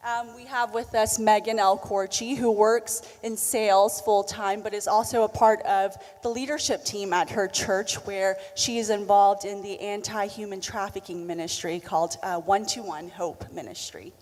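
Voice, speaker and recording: A woman with a Southern American accent moderates a panel in a large hall, introducing the speakers in a friendly tone. Her pitch sits in the middle range. Her voice carries a long reverb from the room. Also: steady tone, moderate pace, few pauses, darkish tone. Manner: friendly, reading guest introductions with fillers. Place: conference hall.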